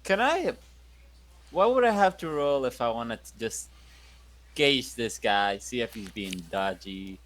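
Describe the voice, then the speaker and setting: A man's voice: mid-range voice, animated, unhurried, fairly bright tone. A man playing a tabletop roleplaying game, switching between in-character talk and bossy, teasing banter.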